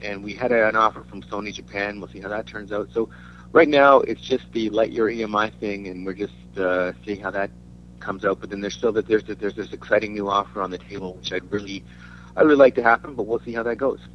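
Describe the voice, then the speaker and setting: A man from Denmark giving a casual interview as a musician, talking in a halting, rambling way. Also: on the low side, steady tone. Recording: dull lo-fi audio with faint hum.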